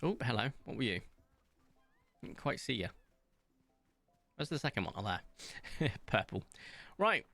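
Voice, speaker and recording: A man streaming from home, chatting casually in a rambling, self-mocking way and pausing often. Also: medium pitch, sing-song, creaky, pushed and bright, faint music behind. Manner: rambling, self-mocking, hesitant with many ums. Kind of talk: casual chat reading viewer comments while playing.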